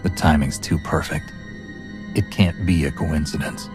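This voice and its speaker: A heroic game commander with a very low voice. He is resolute and earnest, speaking with plain authority. Light score plays behind. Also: steady tone, a little slow, music in the background. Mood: serious. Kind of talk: cinematic game dialogue.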